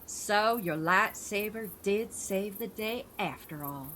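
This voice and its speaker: A woman's voice: medium pitch, animated, slow pace, noisy background, voice pushed up. A woman playing a loyal, bossy best-friend sidekick horse in a comic radio play. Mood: pleased. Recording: music behind the voice.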